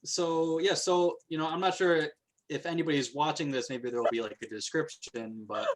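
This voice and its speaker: A man's voice: on the high side, fairly even intonation, moderate pace, low-quality recording. A man hosting a casual conversation, joking and easygoing. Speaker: host.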